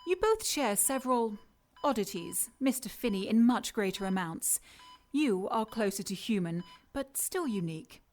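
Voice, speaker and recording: An actress playing a condescending scientist villain in a radio drama, clinical and taunting as she addresses her captive in an unhurried way. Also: mid-range voice, animated, darkish tone, lightly acted.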